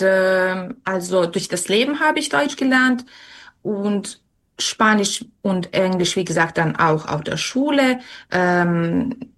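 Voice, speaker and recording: Young woman, slow careful delivery, hesitant interview answers. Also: medium pitch. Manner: many fillers and restarts, thoughtful.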